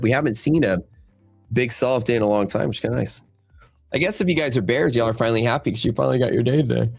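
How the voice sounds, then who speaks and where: A man hosting a live futures trading stream, talking loosely to his chat, his voice worn and nasal from a cold. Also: on the low side. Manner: loose, filler-heavy talk to the chat. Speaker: futures trading livestream host. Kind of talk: casual live market commentary.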